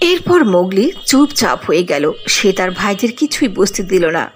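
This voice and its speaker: A woman narrating a cartoon fairy tale with expressive storybook delivery. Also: medium pitch, brisk, a little hiss or hum.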